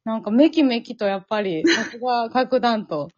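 A woman hosting a casual after-show chat in an animated, encouraging way. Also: on the high side, normal speed. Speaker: host of amateur drama troupe's after-talk. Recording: dull lo-fi audio.

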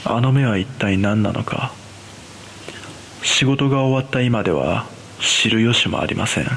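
A man playing an uneasy cleaner, narrating a scripted first-person horror story in a measured, hushed way. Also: fairly low pitch, animated, vocal fry, a little hiss or hum, full character voice. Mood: eerie, shaken. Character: uneasy male trauma-scene cleaner recounting an eerie experience.

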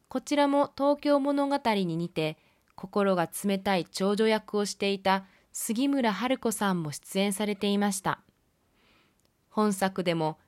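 A woman's voice: medium pitch, dry recording. Woman narrating a film review, dry close sound. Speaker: film review podcast host.

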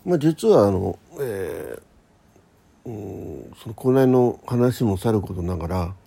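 A man's voice: on the low side, expressive, takes it slowly, vocal fry, warm tone, breathy edge. A man hosting a casual solo radio talk in a rambling, conversational manner. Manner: rambling, conversational, acts out quoted exchanges.

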